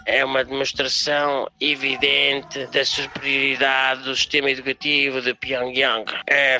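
An actor playing the pompous, bossy owner and presenter of a family radio station, hosting a satirical show. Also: male voice, medium pitch, sharp tone. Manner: pompous, exhorting.

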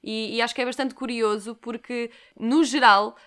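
A woman's voice: a little high, fairly even intonation, moderate pace, fairly bright tone, clean tone. A woman with a European Portuguese accent, co-hosting a podcast and emphatically agreeing and building on points. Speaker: podcast co-host. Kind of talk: podcast conversation.

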